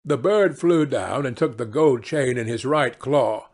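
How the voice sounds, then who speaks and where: A man's voice: medium pitch, measured pace, a little mellow, husky. A man narrating a fairy tale as a scripted audiobook.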